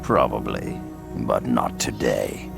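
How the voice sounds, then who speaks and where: Very deep, gravelly beast warrior. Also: male voice, full character voice. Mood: grim. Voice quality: gravelly growl. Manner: slow, menacing, terse. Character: grim, proud beast warrior warband leader, male. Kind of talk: video game cutscene acting. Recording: loud music behind the voice.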